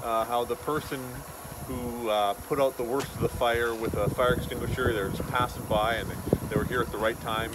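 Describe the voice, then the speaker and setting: Halting, earnest man over loud outdoor noise. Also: medium pitch, fairly even intonation. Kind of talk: informal devotional message to camera. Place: outdoors beside a road and small wooden chapel. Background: loud outdoor ambience, passing vehicles.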